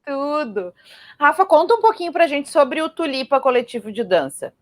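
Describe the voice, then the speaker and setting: A woman's voice: high-pitched, sing-song, normal speed, no echo, clean studio sound. A Brazilian woman hosting and interviewing in a conversational style on a live arts programme.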